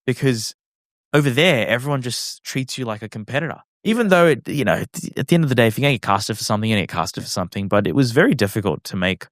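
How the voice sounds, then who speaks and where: A man's voice: mid-range voice, expressive, quick pace, dry recording, clean studio sound. An Australian man talking as a podcast guest, reflective and casual, with many fillers and restarts. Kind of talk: casual interview chat.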